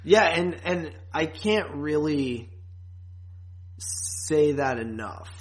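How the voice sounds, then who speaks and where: A man's voice: on the high side, lively intonation, extremely slow. A man talks as a podcast co-host in an unscripted, conversational style. He is blunt and loose with swearing, and he tends to ramble as he works through his points. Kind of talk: casual podcast chat recapping and reviewing.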